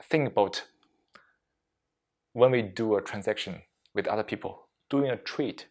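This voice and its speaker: A man leading a reflective mindfulness talk as a meditation teacher, speaking deliberately in short phrases with frequent pauses and non-native English phrasing. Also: fairly low pitch, darkish tone. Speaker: meditation teacher giving a workplace session.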